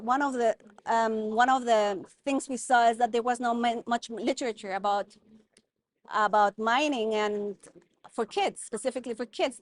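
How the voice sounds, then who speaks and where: Earnest woman, slightly high voice. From Guatemala. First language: German. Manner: thoughtful, earnest, many fillers and restarts. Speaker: guest, mining consultant. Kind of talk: conversational interview.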